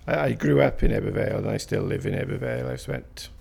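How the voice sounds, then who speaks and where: A man's voice: on the low side, a little fast, gravelly, a little mellow, slightly rough. A man in his fifties with a South Wales Valleys accent, answering interview questions in a hesitant, rambling way with fillers and restarts. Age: 50s. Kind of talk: recorded interview.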